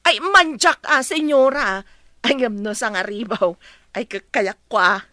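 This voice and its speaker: A woman's voice: fairly high pitch, measured pace, pushed and bright, no room sound, clean studio sound. A woman playing a talkative, cheeky housemaid with an Ilocano accent in a comedy radio drama. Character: sassy, talkative housemaid, respectful yet cheekily outspoken.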